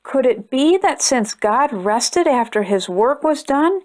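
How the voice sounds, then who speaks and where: A woman hosting a storytime channel, reading a children's picture book aloud in a gentle, expressive way. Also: fairly high pitch. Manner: gentle, expressive reading, brighter and chattier when addressing viewers. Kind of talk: read-aloud storytelling for kids.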